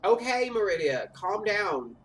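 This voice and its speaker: A man in his thirties with a light, high voice, telling stories in animated, chatty gameplay talk. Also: unhurried, a little hiss or hum, rough recording. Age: 30s. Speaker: streamer. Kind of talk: casual gameplay chat. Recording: voice a bit off-mic with faint game music.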